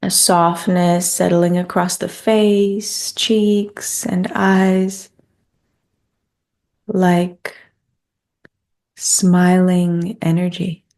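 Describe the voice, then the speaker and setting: A woman guides a meditation in a gentle, soothing way. Her voice sits a little low and is dark and relaxed, with noticeable creak. She takes her time with the phrases. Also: very slow, long pauses.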